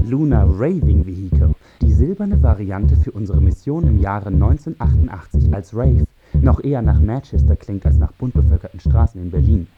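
An actor playing a commentator in an experimental radio drama, explaining and declaiming like a presenter of space technology. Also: male voice, fairly low pitch. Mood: grandiose. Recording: dull muffled sound with music bed.

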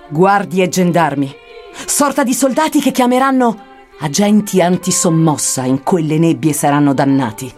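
Solemn poet guide played by a woman, lowish voice, declaiming dark prophecies in old verse, faint music underneath. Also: lightly acted. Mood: solemn, prophetic. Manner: declamatory, archaic rhymed delivery. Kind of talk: dramatic verse recitation. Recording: music behind the voice.

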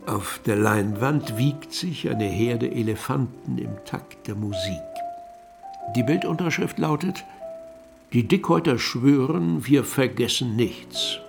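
A man narrating a literary radio play in a musing, deliberate manner. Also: mid-range voice, animated, gravelly. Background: music.

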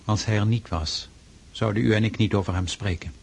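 An actor playing a stern, pious Russian freemason in a radio drama, speaking formally and insistently with a husky voice. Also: male voice, lowish voice, a little mellow. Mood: solemn, preaching. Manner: formal, measured, preaching, insistent.

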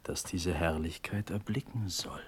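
An actor playing a condemned prince, delivering a measured, brooding soliloquy in a classical German verse radio drama. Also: male voice, sits low, music in the background. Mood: brooding, resigned.

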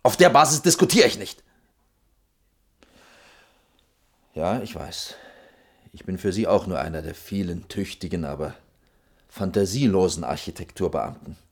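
A man's voice: low-pitched, expressive, moderate pace, slightly rough, heavily acted. A man playing an exasperated, pragmatic architecture official in a radio drama, brusque and grudging in his delivery. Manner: brusque, grudging, swinging to outbursts.

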